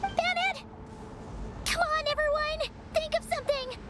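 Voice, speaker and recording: A woman voicing an earnest, excitable, caring young girl in a game, with emphatic delivery. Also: unhurried. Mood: alarmed, pleading. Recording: rumbling noise behind.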